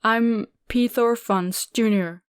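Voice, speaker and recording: A woman's voice: fairly high pitch, slow pace, clean tone, no echo, studio quality. Woman doing a stammering nervous young man. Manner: hesitant, stammering.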